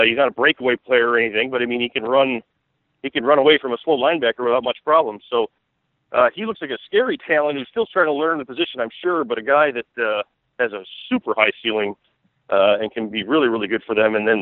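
A man's voice: mid-range voice, dry recording. A man giving casual sports analysis as an interview guest, thinking aloud in a rambling, conversational way. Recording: tinny, dry phone-like radio line.

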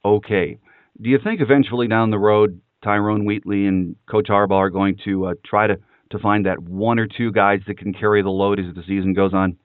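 Male interviewer, slightly deep, conversational sports talk, dry and band-limited like a telephone. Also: fairly even intonation, fairly quick, dry recording. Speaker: podcast host. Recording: dry, phone-like narrow band.

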